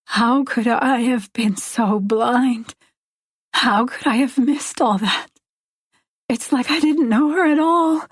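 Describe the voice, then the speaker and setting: A woman's voice: mid-range voice, normal speed, long pauses, breathy edge, no room sound, clean studio sound, close to an everyday voice. A woman voicing an anguished mother in an audiobook, emotional and desperate in her guilt and grief. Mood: wounded, pleading.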